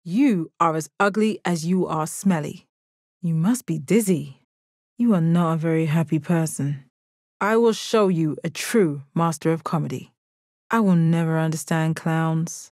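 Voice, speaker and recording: An actress voicing a calm, stoic alien warrior woman in a video game, with measured, deadpan, formal phrasing and a confident, kind temper. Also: deep voice, no echo, clean studio sound. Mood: stern, dry. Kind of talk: video game character voice lines.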